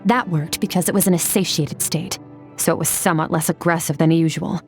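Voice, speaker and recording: A cool, otherworldly swordswoman from a video game. Her voice is on the low side, and she speaks in a detached, condescending, matter-of-fact way over faint music. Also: steady tone, fairly quick, darkish tone. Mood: detached, grave. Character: aloof, battle-hardened otherworldly swordswoman, cool and blunt.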